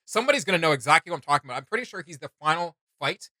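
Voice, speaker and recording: A young man hosting a reaction video, chatting casually in an animated, rambling, slangy way. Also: on the high side, rapid-fire, no room sound. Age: young adult. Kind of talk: casual enthusiastic reaction chat. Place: small indoor room with desk and shelves.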